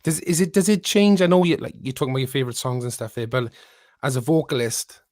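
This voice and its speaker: A man's voice: medium pitch, animated, fast talker, darkish tone, no room sound, clean studio sound. A man hosting a casual podcast interview, asking chatty, enthusiastic questions. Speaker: podcast host, band member.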